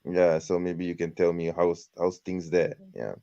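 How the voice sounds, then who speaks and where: A low-pitched man with a Malaysian accent calls in over a dull, lo-fi connection. He asks casual questions in a hesitant way, often repeating his phrases. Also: little pitch movement, unhurried, creaky, dark tone. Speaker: caller. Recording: dull lo-fi call.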